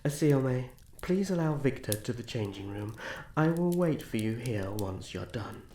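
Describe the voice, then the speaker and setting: A man playing a young elf prince in a fantasy ASMR roleplay, formal, soft-spoken, gentle and protective. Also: mid-range voice, lively intonation, unhurried, close to an everyday voice. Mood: courteous, thoughtful. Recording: roomy echo, faint background noise.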